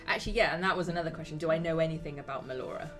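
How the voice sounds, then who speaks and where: Female gamer, notably low voice, casual and questioning, music underneath. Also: very fast, fairly bright tone. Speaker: tabletop game player. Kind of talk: casual chat during a game. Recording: music playing behind the voice.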